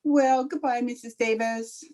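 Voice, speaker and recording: A woman playing a quick-witted high school English teacher who wisecracks dryly in old-time radio comedy style. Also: fairly even intonation, noisy background, natural voice. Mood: brisk, wisecracking. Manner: dry, sardonic wisecracking.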